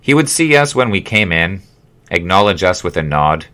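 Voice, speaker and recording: Guy reading his memoir, low lively voice, wry storyteller, takes his time. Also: normal speed. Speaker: author reading own memoir. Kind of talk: scripted narration, audiobook memoir reading.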